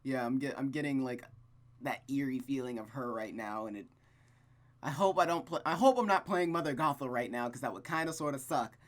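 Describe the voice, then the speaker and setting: A gaming host reading a visual novel aloud with casual, rambling, expressive commentary. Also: medium pitch, brisk. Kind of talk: casual game commentary and read-aloud narration.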